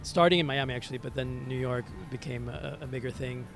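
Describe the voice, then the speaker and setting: A man from Venezuela, a native Spanish speaker, telling his story as an interview guest in a reflective, halting, conversational way, repeating words as he searches. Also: medium pitch, steady tone, vocal fry. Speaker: interview guest, musician and hospitality entrepreneur. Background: music.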